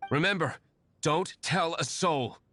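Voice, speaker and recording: A man's voice: on the high side, takes it slowly, bright, forceful tone, dry recording, heavily acted. An aloof, terse young nobleman. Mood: curt. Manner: terse, formal, cool. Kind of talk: game voice acting.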